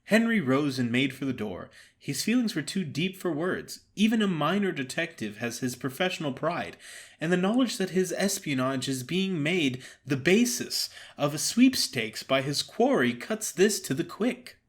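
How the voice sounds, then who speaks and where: A man narrating a dramatized story as a solo performer, in a measured and wry manner. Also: on the high side, sing-song.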